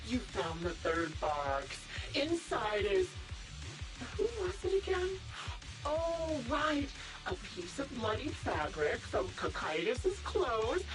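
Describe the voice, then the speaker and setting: An actress voicing a sadistic, gloating female sniper villain in a video game, mocking and theatrical, with drawn-out false politeness. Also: on the high side, distant mic, low-quality recording. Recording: music behind the voice.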